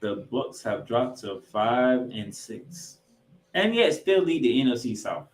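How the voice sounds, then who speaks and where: A man's voice: medium pitch, very animated, slow pace. A man hosting a sports podcast, giving emphatic, opinionated commentary and game recaps. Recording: roomy, echoing voice pickup.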